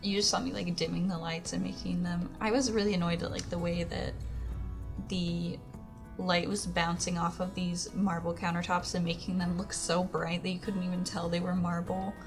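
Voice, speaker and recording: A hoarse young woman giving a chatty, rambling voice-over while she talks through her build. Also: fairly low pitch, flat delivery, moderate pace. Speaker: Sims speed-build YouTuber. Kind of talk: casual voice-over commentary. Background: background music.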